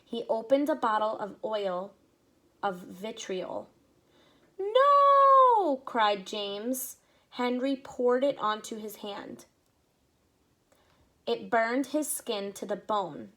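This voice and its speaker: Slow, expressive woman teacher reading aloud. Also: a little high. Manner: slow, expressive reading with short asides explaining to children. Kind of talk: picture-book read-aloud.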